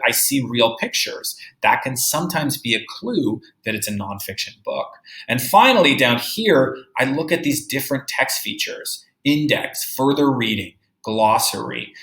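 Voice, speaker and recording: A man speaks as a grade-school teacher guiding children through a read-aloud lesson. His voice is bright and clearly projected at an ordinary male pitch. He explains in an instructive, emphatic way and often checks that listeners follow, with audible room echo around him. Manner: instructive, emphatic, checking understanding with tag questions.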